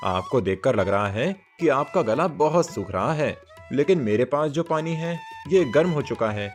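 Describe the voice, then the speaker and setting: A man plays a humble farmer and caring father in a cartoon story. His medium-pitched voice moves expressively and sounds kind and concerned. Music sits softly behind. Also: lively intonation, normal speed, close to an everyday voice. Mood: kindly. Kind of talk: Hindi moral cartoon story dubbing.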